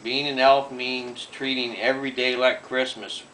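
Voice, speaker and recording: A man's voice: medium pitch, flat delivery, a little slow, pushed and bright, far from the mic. A middle-aged man, a father reading a picture book aloud with playful, mischievous joking. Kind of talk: storybook reading with joking ad-libs. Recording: noisy home recording with clicks.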